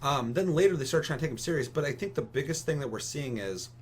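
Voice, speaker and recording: A man's voice: on the high side, animated, fast, slight background noise. A young American man hosting a casual podcast discussion, giving opinions emphatically with lots of casual swearing. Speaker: podcast host.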